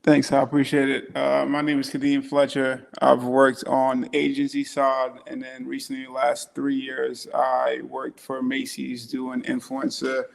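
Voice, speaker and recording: A man from Trinidad in his thirties, introducing himself on a panel in a relaxed, halting way with many fillers. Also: medium pitch, gravelly. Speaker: panelist, influencer marketer.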